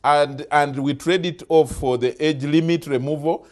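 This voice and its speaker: A man's voice: on the high side, steady tone, moderate pace, darkish tone. A man hosting a political talk show, pressing a guest with repeated questions. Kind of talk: probing interview questions.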